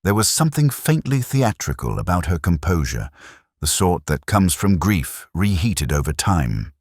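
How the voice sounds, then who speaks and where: A man giving a measured, deliberate first-person reading of a literary detective story, as its narrator. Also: low voice, sing-song, husky, no echo, studio quality.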